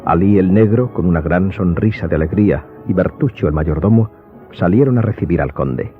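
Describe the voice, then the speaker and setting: A man's voice: sits low, moderate pace. A man giving scripted literary narration for an audio drama. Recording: muffled, music playing behind.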